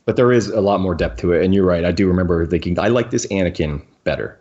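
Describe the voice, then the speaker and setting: A man with a deep voice talks casually as a podcast host. He doubles back on words as he works through his points. The recording is somewhat dull and lo-fi. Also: fast, a little mellow. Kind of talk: casual discussion of books.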